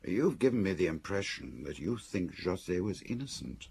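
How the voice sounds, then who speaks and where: Sober, probing male confidant for audio drama: extremely deep, creaky, direct delivery. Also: sing-song, normal speed, a little mellow, a little hiss or hum, exaggerated voice. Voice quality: gravelly. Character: sober, probing male listener discussing a murder case. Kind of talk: radio drama acting.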